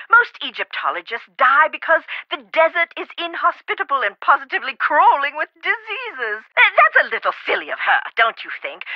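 A woman's voice: high voice, no echo. A flowery eccentric lady scholar. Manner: prim, theatrical, fond of elaborate metaphors and "dear". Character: eccentric chatty woman scholar of Egypt, fussy and flowery. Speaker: character in an adventure game. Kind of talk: studio game voice acting. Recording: dry, slightly dull game audio.

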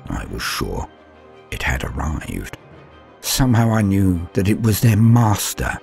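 Deep-voiced man narrating a grim horror tale. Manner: grave, measured first-person storytelling with hushed low drops and rising swells. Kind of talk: dramatic horror story reading. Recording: soft music bed underneath.

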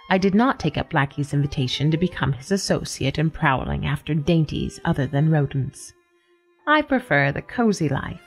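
A woman playing a genteel, sly cat who narrates a radio story with polished, wry formality. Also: low-pitched. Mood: wry, reflective.

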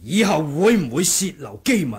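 Husky, cunning warrior monk. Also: male voice, fairly high pitch, sing-song, a little mellow, heavily acted. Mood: calm scheming. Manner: deliberate, sly bargaining. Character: cunning martial monk who feigned muteness, scheming and composed. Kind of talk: Cantonese 1980s radio drama acting.

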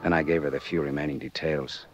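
An actor playing a wry, hardboiled private detective in a 1940s radio drama, with an American accent and a sardonic, clipped manner. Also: male voice, very deep voice, steady tone, quick pace, music in the background. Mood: brooding narration. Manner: sardonic, clipped, world-weary. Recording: dull 1940s radio recording.

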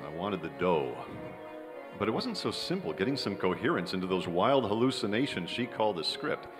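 A wry american screenwriter, old radio drama, lively swings in tone, big echoey theater w music. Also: male voice, on the low side, a little fast. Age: middle-aged. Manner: wry, hard-boiled. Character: wry, cynical young Hollywood screenwriter narrating his story. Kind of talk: old-time radio drama narration and dialogue. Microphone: vintage-style stand microphone. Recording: reverberant theater hall with music.

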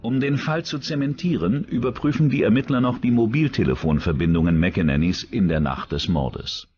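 A man's voice: on the low side, normal speed, darkish tone, husky, muffled recording. A man reading scripted true-crime documentary narration as the narrator.

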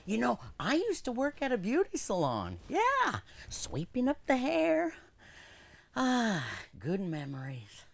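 A man's voice: extremely high pitch, wildly swooping pitch, takes it slowly, gravelly, full character voice. A middle-aged man playing a goofy, dim, cheerful school coach in a kids' comedy, with a rambling, clownish delivery. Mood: cheerful, oblivious. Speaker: school coach and science teacher.

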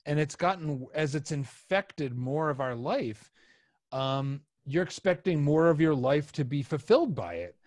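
A middle-aged man hosts a casual podcast conversation. He speaks slowly and haltingly, often searching for the next word. He is on a podcast microphone, and the sound is dry. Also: a little high, expressive, a little mellow, no echo. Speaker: podcast host. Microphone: podcast microphone and headset.

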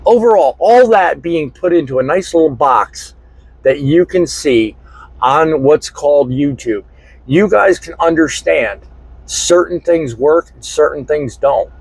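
A middle-aged truck driver speaks to his camera from inside his cab, his voice slightly husky and his phrasing earnest and deliberate. The lapel mic catches a lively, rising and falling delivery. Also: fairly high pitch, dry recording, low-quality recording. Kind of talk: casual talk to camera. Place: inside a truck cab, also outdoors in a parking lot. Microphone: small lapel mic.

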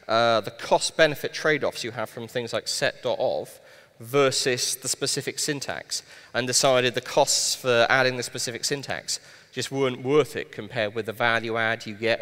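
A man's voice: mid-range voice, moderate pace, bright, forceful tone. A man from Wales in his thirties, giving a live-coded tech talk on a conference stage with a headset mic, explaining with dry asides. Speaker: conference speaker live-coding. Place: large conference hall stage. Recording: long hall reverb.

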